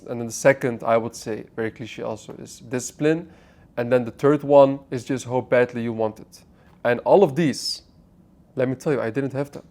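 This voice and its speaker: A man's voice: medium pitch, darkish tone. Blunt Dutch-raised man in his twenties. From Netherlands. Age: 20s. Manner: blunt, swearing, restarts mid-sentence, addresses viewer directly. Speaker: online business vlogger. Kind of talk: unscripted motivational talk to camera. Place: apartment.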